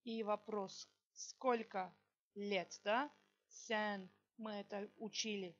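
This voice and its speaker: A woman teaching a language lesson, slowly dictating example sentences word by word and checking in with her students. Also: medium pitch, fairly even intonation, dull audio. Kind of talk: online language lesson, explaining exercises.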